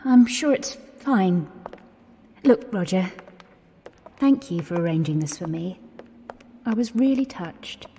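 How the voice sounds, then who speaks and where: A woman's voice: on the low side, lively intonation, takes it slowly, long pauses, dark tone, a little hiss or hum. An actress playing an anxious, earnest young Englishwoman in a period radio drama, with an English accent and a nervous, questioning manner. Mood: earnest, reassuring. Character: anxious, earnest, self-taught young working-class Englishwoman, Agnes. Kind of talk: 1920s-set radio drama acting. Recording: reverberant hall-like space.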